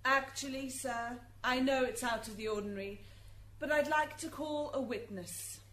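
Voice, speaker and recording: Woman acting a high-voiced, relentless prosecutor. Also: normal speed, a bit bright. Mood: polite, insistent. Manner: formal, courtroom questioning. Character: crisp, relentless devil's advocate prosecuting souls. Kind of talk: amateur radio drama acting. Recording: echoey room, faint noise.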